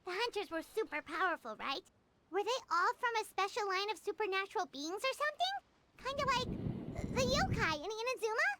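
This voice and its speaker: Game cast: a bubbly, nosy fairy sidekick, very high and wildly sing-song. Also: female voice, very animated, a little fast, noisy background. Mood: curious. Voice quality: squeaky, bubbly. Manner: eager, nosy questioning. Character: small chirpy floating female fairy companion. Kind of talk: video game voice acting.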